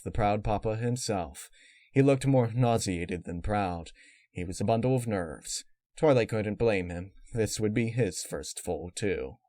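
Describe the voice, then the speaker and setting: A man's voice: fairly low pitch, darkish tone, no room sound. A man reading a scripted story aloud as its narrator.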